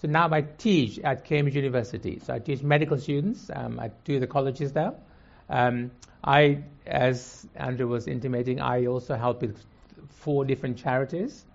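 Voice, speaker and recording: A man's voice: medium pitch, steady tone, moderate pace, vocal fry, dull audio. An older man, born in Kenya and raised speaking Swahili and Gujarati, telling his life story as a guest on stage. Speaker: guest, cancer surgeon. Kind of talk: conversational storytelling. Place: before a live audience in a hall.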